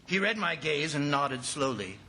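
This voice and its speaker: An actor playing an anxious district commissioner who narrates a jungle disaster in grave, urgent old-time radio style. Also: male voice, a little high, big pitch swings, moderate pace, bright, forceful tone, a little hiss or hum, rough recording. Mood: grave, tense.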